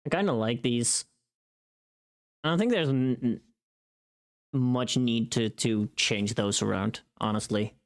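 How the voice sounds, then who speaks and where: A woman with a very deep voice chats casually on a livestream while she works. Her talk is halting and informal, with little murmurs to herself between remarks.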